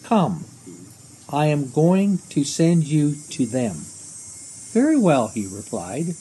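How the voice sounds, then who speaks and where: A man in his seventies reading scripture aloud in a measured way, recorded outdoors on a device at arm's length. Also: fairly high pitch, sing-song, warm tone, a little hiss or hum, rough recording. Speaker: lay scripture reader. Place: outdoors beside a brick house under trees.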